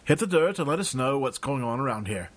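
A man voicing a gruff, brisk male squad sergeant who gives orders. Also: medium pitch, animated, natural voice. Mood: commanding. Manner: brisk, commanding.

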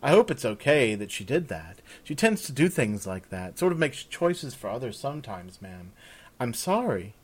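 A man's voice: medium pitch, sing-song, normal speed, husky. A man voicing an eager, deferential young servant girl with polite, old-fashioned speech in a fantasy story. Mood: apologetic. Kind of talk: fantasy audiobook character.

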